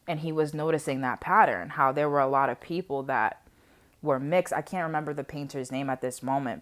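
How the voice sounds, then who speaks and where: A woman in her twenties talking casually and off the cuff to viewers, with an animated, digressive, conversational way of speaking. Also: extremely low pitch. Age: 20s. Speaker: vlogger sharing personal reflections on history. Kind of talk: casual unscripted chat to viewers.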